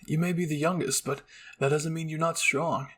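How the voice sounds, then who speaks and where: Fallen soldier's spirit voiced by a man, husky, earnest, urging, solemn, dramatic roleplay story. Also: a little high, fairly even intonation, normal speed, a bit bright. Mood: firm encouragement. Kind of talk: ASMR roleplay fan fiction acting.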